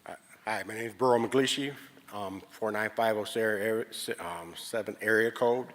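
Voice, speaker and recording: A man's voice: lowish voice, normal speed. An older man giving public comment at a meeting, recounting his experiences in an earnest, plainspoken way. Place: large public meeting hall. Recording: reverberant hall.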